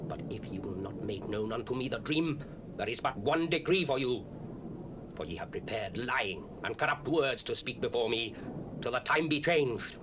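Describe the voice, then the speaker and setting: A man's voice: mid-range voice, animated, normal speed, lightly acted. An actor playing a stern, angry king of Babylon who threatens his wise men, on an old cassette with tape hiss. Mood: commanding. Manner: commanding, threatening.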